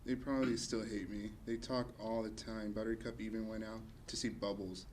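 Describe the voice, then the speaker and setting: A man's voice: lowish voice, flat delivery, normal speed, a little mellow, a little hiss or hum, close to an everyday voice. A man playing an anxious, sweet young woman, a former superhero, in a plain, subdued comedy table read. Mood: worried.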